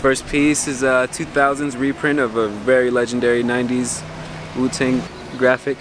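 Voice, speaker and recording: A man's voice: mid-range voice, flat delivery, moderate pace. A man casually walking through his vintage clothing haul outdoors by parked cars, enthusiastic and descriptive. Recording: outdoor street noise.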